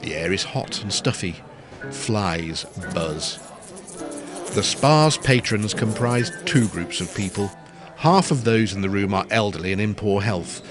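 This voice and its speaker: A man narrating a period farce as a wry, descriptive storyteller with a scripted delivery. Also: fairly low pitch. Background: prominent music.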